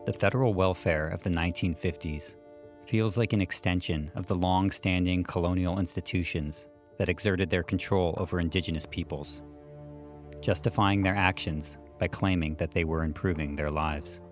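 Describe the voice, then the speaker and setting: A man with a very deep voice reads documentary narration from a prepared script. His delivery is measured and even, and faint music plays softly underneath.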